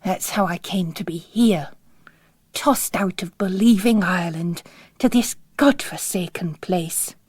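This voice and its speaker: A woman's voice: on the low side, unhurried, a little mellow, breathy edge, no room sound. A woman voicing an impetuous, earnest young nun with an urgent, outspoken manner in a story reading. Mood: chatty, indignant.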